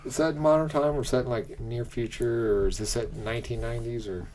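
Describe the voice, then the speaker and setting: A man with an average-pitched voice chats as a podcast co-host. His delivery is informal, as he thinks out loud and builds questions bit by bit. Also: fairly even intonation, fast, slight background noise, low-quality recording. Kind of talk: casual chat, joking and questioning.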